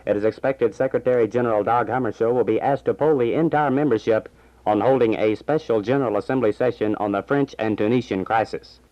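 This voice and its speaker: A dull, lo-fi vintage AM recording carries a male newsreader with a mid-pitched voice. He delivers scripted bulletins in a formal, measured 1960s announcer style. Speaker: radio newsreader and station announcer. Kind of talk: 1960s scripted radio news reading. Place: radio station studio.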